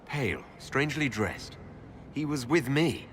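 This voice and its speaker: Man playing an expressive, composed hero. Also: medium pitch, measured pace, slight background noise, close to an everyday voice. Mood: puzzled. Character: composed, wry male fantasy hero. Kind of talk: video game drama acting.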